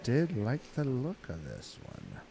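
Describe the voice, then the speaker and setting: A man's voice: mid-range voice, wildly swooping pitch, slow pace, dark tone, low-quality recording. A man chatting casually in a laid-back, slangy way with plenty of swearing. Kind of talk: casual chat. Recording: room recording with clear background noise.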